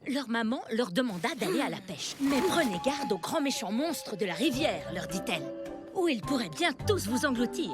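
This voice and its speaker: A woman's voice: a little high, big pitch swings, normal speed, over loud music. A woman playing a doting, teasing mother in a French cartoon, cooing and telling things theatrically. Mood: dramatic storytelling.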